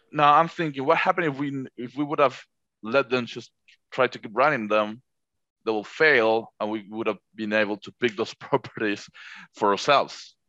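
A man whose first language is Spanish, chatting on a podcast about managing rentals, halting with frequent restarts. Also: lowish voice, a bit bright, dry recording, dull audio. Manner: halting, many restarts, laughs easily. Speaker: short-term rental manager. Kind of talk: casual podcast chat.

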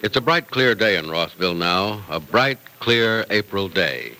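A male old-time radio announcer with a bright, forceful tone. His polished scripted delivery is theatrical, with animated intonation throughout. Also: lowish voice, measured pace, rough recording.

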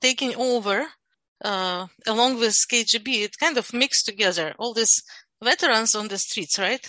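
A woman's voice: a little high, pushed and bright, no room sound. A woman from Ukraine whose first language is Russian, explaining her views in a casual group discussion, with frequent restarts and fillers. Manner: halting, many restarts and fillers. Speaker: guest.

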